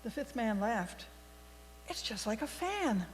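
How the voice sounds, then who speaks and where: A woman reading a story aloud to a congregation in a deliberate, expressive storybook manner. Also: fairly high pitch. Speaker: worship leader telling a story for all ages. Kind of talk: read-aloud storytelling in a church service. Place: large indoor congregation space. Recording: echoey hall with mains hum.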